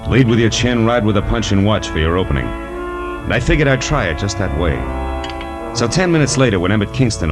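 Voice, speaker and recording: An actor playing a wisecracking, world-weary private detective in 1940s radio noir style, wry and clipped. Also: male voice, low voice. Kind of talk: 1940s radio noir acting and first-person narration. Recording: vintage 1940s radio recording, music behind.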